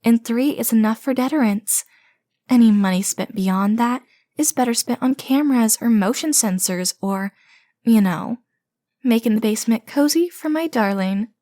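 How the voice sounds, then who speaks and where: A woman acts out a lovesick comic kidnapper sister in an audio roleplay. Her voice is fairly high and recorded very dry. She rambles theatrically, indignant and proud of her own obsession. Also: normal speed, warm tone, no echo, natural voice.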